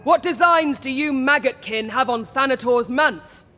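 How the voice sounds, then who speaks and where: An actress playing a proud, righteous holy warrior in a fantasy game, solemn, commanding and declamatory. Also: female voice, sits high, a little slow, pushed and bright, big-room sound, faint music behind. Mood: defiant. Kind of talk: dramatic fantasy game acting.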